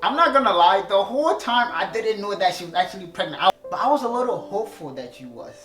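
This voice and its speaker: A man's voice: high-pitched, big pitch swings, fairly bright tone. A young man with a Ghanaian accent talks in a casual, rambling way, stopping and restarting his sentences. He sits in an echoey room with music playing underneath. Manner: rambling, repeats himself, halting restarts. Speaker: co-host. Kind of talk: casual couple chat.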